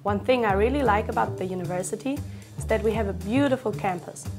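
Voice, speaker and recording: A woman's voice: medium pitch, fast. Friendly student woman over music. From Germany. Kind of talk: short self-introduction. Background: background music.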